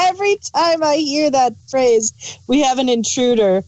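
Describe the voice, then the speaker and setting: A woman's voice: high-pitched, slow, darkish tone, poor audio. A woman co-hosting a review podcast in a casual, conversational style. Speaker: podcast co-host.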